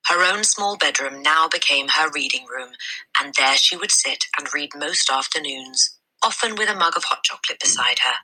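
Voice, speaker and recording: Very bright, expressive woman storyteller. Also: sits low, moderate pace, slightly rough, rough recording. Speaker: teacher reading aloud.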